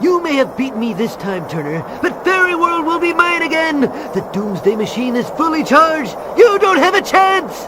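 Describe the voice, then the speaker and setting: An actor playing a manic, power-mad cartoon villain in a video game, ranting boastfully and hysterically. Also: male voice, very high-pitched, big pitch swings, normal speed, hardly any pauses, noisy background. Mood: defiant.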